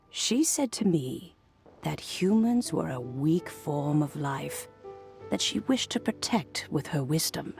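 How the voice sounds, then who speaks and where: A woman voicing a wise, ancient and serene elderly immortal in a video game, talking in a measured, reflective storytelling way. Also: low-pitched, gravelly, full character voice. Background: music.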